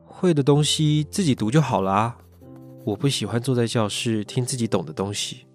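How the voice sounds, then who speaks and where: An actor playing a cool, sharp-tongued loner of a high-school boy in an audio drama, curt, grumbling and reserved. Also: normal speed, faint music behind, lightly acted. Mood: aloof. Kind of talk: Mandarin audio drama acting.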